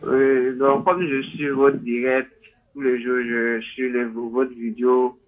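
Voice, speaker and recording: A man with an Ivorian French accent calling in by phone, speaking earnestly in halting, repetitive phrases. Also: medium pitch, flat delivery. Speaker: phone-in caller. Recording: muffled, lo-fi phone call.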